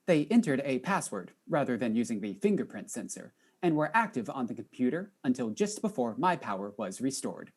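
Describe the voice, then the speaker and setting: An actress playing a polite robot computer assistant in an audio drama, speaking in a formal, stilted, precise way. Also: female voice, a bass voice, big pitch swings, a little fast, few pauses, darkish tone, breathy edge, full character voice. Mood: dutiful, apologetic.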